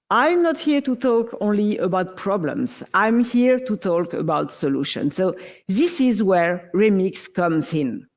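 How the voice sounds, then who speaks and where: A woman's voice: a little high, darkish tone. French woman presenting at a tech conference; upbeat and explanatory, speaking into a stage mic. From France. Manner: upbeat, explanatory, playful comparisons.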